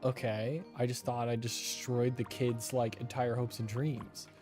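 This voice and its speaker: A man's voice: mid-range voice, flat delivery, unhurried. Casual male gamer, light music behind. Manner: joking, teasing, talking to game characters. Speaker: streamer playing a video game. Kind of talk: casual game commentary, reading dialogue aloud. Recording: faint game music behind. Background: game music.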